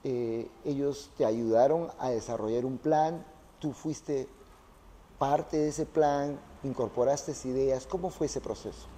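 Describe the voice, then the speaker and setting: A man's voice: mid-range voice, takes it slowly, warm tone, a little hiss or hum. A man interviewing a guest in a conversational style, in a reverberant room.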